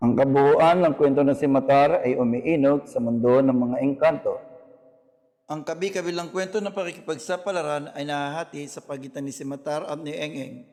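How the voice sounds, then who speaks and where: A man's voice: a little high, normal speed, warm tone, in a large hall. A man with a Filipino accent giving scripted educational narration as the host.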